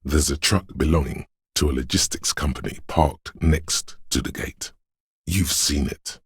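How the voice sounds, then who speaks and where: A man voicing a brooding, omniscient inner voice of a city in a video game, narrating gravely and poetically in a measured, husky, gravelly voice. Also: rumbling low, no echo, full character voice. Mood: brooding, solemn. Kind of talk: slow literary second-person game narration.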